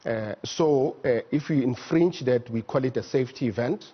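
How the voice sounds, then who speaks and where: A man with a South African accent explaining things methodically and haltingly as an expert guest in a studio interview. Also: medium pitch, slightly rough, dull audio. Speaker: air traffic management executive, interview guest. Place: TV news studio.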